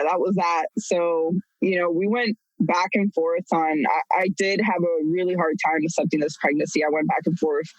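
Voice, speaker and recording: A woman's voice: lowish voice, no room sound, muffled recording. A woman in her thirties telling her own story as a podcast guest, candid and blunt, laughing often. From United States. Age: 30s. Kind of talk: casual storytelling.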